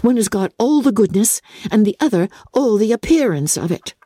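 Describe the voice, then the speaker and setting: Woman acting a spirited young English gentlewoman, wry, teasing, candid, animated melody, soft-edged husky tone, classic novel. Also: medium pitch, normal speed, no room sound, clean studio sound, voice pushed up, lightly acted. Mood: playful irony. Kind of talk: dramatic classic novel reading.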